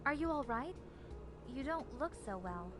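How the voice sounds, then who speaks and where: A woman playing a serious, guarded investigator in a visual novel, speaking in a measured, earnest way. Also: high voice, lively intonation. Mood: concerned. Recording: music playing behind. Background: game music.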